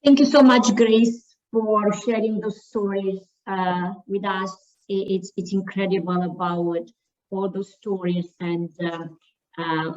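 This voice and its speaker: A woman from Romania, a staff member telling her story at an online panel, halting with many fillers and restarts. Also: on the low side, darkish tone, far from the mic, muffled recording.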